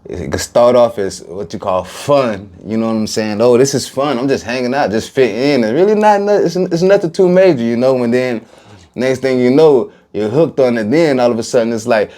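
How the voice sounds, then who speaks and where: A man's voice: medium pitch, normal speed, darkish tone. A man hosting a recovery podcast, talking in a laid-back, casual way and dropping little rhymes. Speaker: podcast host.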